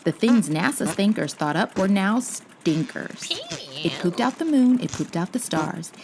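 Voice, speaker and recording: Woman storybook narrator, lively swinging pitch, slow pace, creaky edges, playful dramatic emphasis. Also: noisy background. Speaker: children's storybook reader, channel host. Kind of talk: expressive scripted storybook narration.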